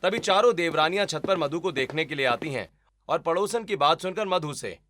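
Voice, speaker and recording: Bright-voiced male story narrator. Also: on the high side, lively intonation, fairly quick. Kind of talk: scripted cartoon story narration.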